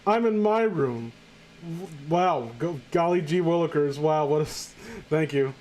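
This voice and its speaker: A man's voice: a little high, unhurried, darkish tone, a little hiss or hum. A man hosting a comedic reading show, chatting casually with sarcastic, crude banter. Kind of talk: casual joking group commentary and read-aloud.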